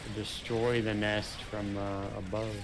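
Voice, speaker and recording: Slow, dry-witted man with game noise. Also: lowish voice, flat delivery. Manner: short replies, dry sarcastic quips. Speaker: co-host playing along. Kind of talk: casual co-op gameplay chat. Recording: game sounds loud behind voice.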